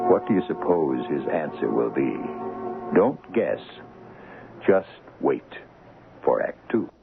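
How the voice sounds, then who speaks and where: A man hosting and narrating a 1970s radio mystery in a wry, theatrical, deliberate style. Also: low voice, vocal fry. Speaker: host and narrator. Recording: narrow-band old radio with loud music.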